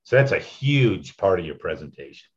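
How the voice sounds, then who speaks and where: A man with a deep, loud voice, talking casually as he teaches public speaking tips and often chuckles. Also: very animated, normal speed, highs cut off.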